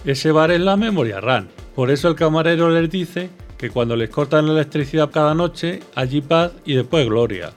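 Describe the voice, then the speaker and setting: Male co-presenter, Spain accent, lightly high pitch, scripted question-and-answer explainer, music bed. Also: lively intonation, normal speed, few pauses. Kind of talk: scripted educational dialogue.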